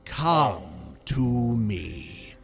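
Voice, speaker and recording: A man voicing a commanding, otherworldly male entity in a storybook reading, speaking slowly with drawn-out words. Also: lowish voice, big pitch swings, vocal fry, fairly bright tone, slightly rough, soft background music, voice pushed down, heavily acted. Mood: ominous. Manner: slow, drawn-out, commanding delivery. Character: booming otherworldly male entity posing as a friend.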